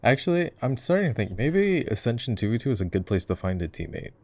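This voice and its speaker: A man's voice: medium pitch, very animated, fast, a little mellow, lightly acted. A man voicing an easygoing gamer boyfriend in a casual, chatty roleplay, rambling playfully and interrupting himself as he explains. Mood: amused, musing. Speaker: voice actor, roleplay performer. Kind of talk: casual ASMR roleplay, chatty gaming commentary.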